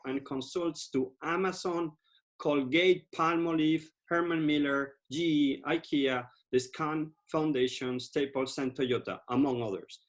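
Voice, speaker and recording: A man hosting his own podcast interview, introducing his guest with enthusiasm and repeating words for emphasis. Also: a little high. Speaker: podcast host.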